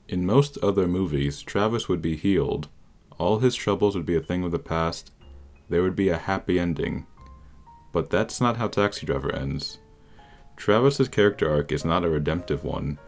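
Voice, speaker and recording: A man's voice: low-pitched, a little mellow. A man reading a scripted, analytical video essay as narrator, with instrumental music behind him.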